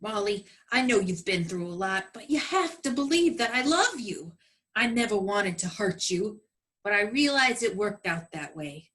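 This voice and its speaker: Nervous married guy voiced by a woman, apologetic, pleading, bright tone, radio play spoof. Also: medium pitch, lively intonation, normal speed, voice pushed down, natural voice. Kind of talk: live comedic radio play parody.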